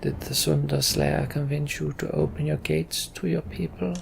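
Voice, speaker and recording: Man voicing a scornful, gravelly rebel chieftain. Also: a little high, little pitch movement, normal speed, pitched above the performer's own voice. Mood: taunting, menacing.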